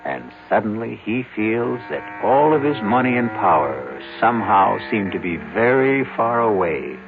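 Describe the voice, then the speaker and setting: Theatrical low-voiced American male radio narrator. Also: sing-song, unhurried, gravelly. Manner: portentous, theatrical. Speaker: host and narrator. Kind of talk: dramatic scripted radio narration. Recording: old tinny radio with music behind.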